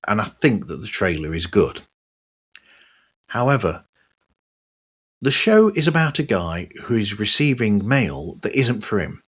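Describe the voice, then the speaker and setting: A man hosting a podcast monologue, giving his opinions in a conversational, emphatic way with a husky voice. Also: deep voice. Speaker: podcast host. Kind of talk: podcast monologue, part scripted, reviewing other shows.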